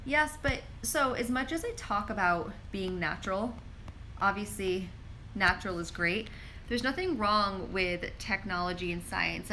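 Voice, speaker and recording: A woman's voice: mid-range voice, bright, forceful tone, slight background noise, rough recording. A woman pharmacist answering viewer questions in a casual live stream, talking chattily with lots of "like" and reading questions aloud, recorded on a handheld phone. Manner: chatty, frequent "like" fillers, reads questions aloud. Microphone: phone held in hand.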